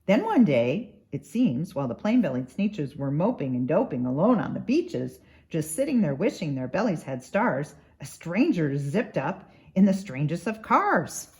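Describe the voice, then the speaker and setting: Very animated woman reading a storybook. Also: low-pitched, moderate pace. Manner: rhythmic, dramatic read-aloud. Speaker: doctor reading a children's book. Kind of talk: expressive storybook narration.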